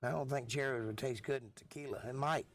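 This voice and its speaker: Hoarse middle-aged man chatting. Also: medium pitch, brisk, no echo. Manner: laid-back, chatty, folksy. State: losing his voice, hoarse. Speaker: live stream host and musician. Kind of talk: casual live-stream chat with viewers.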